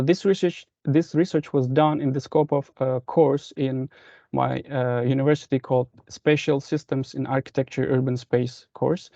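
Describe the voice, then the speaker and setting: A man's voice: medium pitch, mellow, dry recording. A young man from Indonesia with an Indonesian accent, giving a formal academic presentation over a lo-fi video call. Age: young adult. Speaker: master's student presenter. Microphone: computer on a video call. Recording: dull lo-fi online call.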